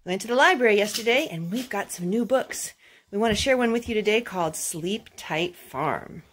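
A young woman reading a children's picture book aloud at home, phrase by phrase in a measured, careful way. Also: medium pitch, lively intonation. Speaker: storytime host reading to her children. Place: carpeted room at home. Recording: clear background noise and clicks, homemade.

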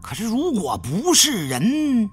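A man narrating an adventure thriller audiobook in an expressive, suspenseful storytelling style. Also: sits high, takes it slowly, slightly rough. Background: background music.

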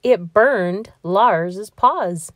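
A woman reads a children's storybook aloud in an expressive, deliberate storytelling manner. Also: mid-range voice, no echo, studio quality. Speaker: storybook reader. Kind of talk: children's read-aloud narration.

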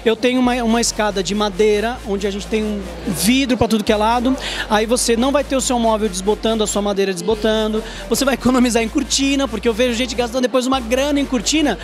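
A man's voice: extremely high pitch, fairly even intonation, fast. A Brazilian man in his thirties hosting a TV interview on a handheld microphone, talking in an informal, emphatic, conversational way. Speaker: TV show host. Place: trade fair stand indoors. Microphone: handheld reporter microphone. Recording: music behind the voice. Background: prominent music.

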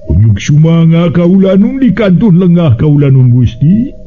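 A man's voice: fairly high pitch, big pitch swings, a little slow, pitched above the performer's own voice. A man voicing a humble, servile royal minister in a radio story, obsequious and formal. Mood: obedient. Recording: dull lo-fi radio recording with music.

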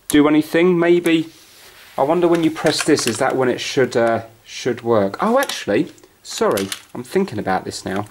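A man talks casually through a hands-on job, thinking aloud as he goes in a chatty, unscripted way. He is recorded on a camera at home, with clear noise and clicks around his voice. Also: a little high. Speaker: host of amateur repair videos. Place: carpeted room at home.

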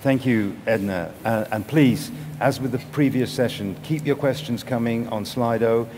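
An older man moderating a conference panel, giving brisk directions to the audience. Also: mid-range voice, background noise. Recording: reverberant hall, faint ambience.

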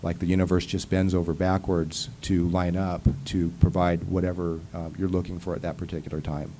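Low mellow-voiced man on a panel. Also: flat delivery, brisk, a little hiss or hum. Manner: earnest, rambling, many fillers and restarts. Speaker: conference panelist. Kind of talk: casual panel talk. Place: conference hall panel table. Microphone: handheld mic on stand.

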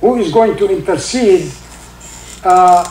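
An older man with a heavy Egyptian accent, a native Arabic speaker, giving an informal religious talk in halting English with many fillers and recited Arabic verses. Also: high voice, darkish tone, echoey room, slight background noise, low-quality recording. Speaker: religious teacher. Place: indoor room with carpeted seating.

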